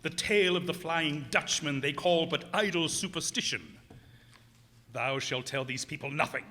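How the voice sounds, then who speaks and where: An actor playing a bitter, menacing cursed sea captain in a radio play, with grandiose, archaic thee-and-thou speech. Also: male voice, a little high, normal speed, sharp tone. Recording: echoey hall stage recording.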